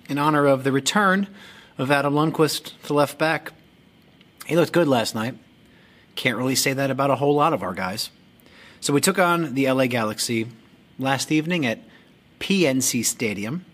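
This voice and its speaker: A man's voice: medium pitch, fairly bright tone. A man hosting a soccer fan podcast, talking frankly about his club's loss with jokes and swearing. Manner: frank, joking, swears freely. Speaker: podcast host. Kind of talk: casual fan podcast monologue.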